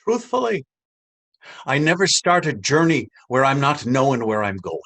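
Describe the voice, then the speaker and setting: An actor playing a cruel, taunting police officer in a stage drama, with a mocking, menacing drawl. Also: male voice, mid-range voice, very animated, moderate pace, fairly bright tone. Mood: smug, dismissive. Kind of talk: stage readers theater drama.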